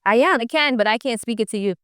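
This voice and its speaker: A woman from Jamaica, a young entrepreneur, talking in a relaxed, upbeat interview and often asking "right?" as she makes her point. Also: on the high side, quick pace, dry recording, clean studio sound. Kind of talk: casual interview.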